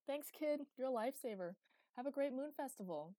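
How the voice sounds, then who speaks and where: A woman voicing a cocky, playful teenage boy acrobat in an audiobook, chattering in an excitable, teasing, boastful way. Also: medium pitch, normal speed, dry recording, close to an everyday voice. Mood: jubilant, cocky. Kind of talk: audiobook character dialogue.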